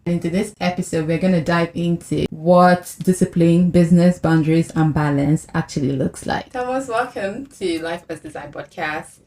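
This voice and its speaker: A woman's voice: fairly low pitch, normal speed, few pauses, a little mellow. A woman hosting a podcast interview, asking questions and often breaking in with short affirmations. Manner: encouraging, with frequent short affirmations. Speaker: podcast host.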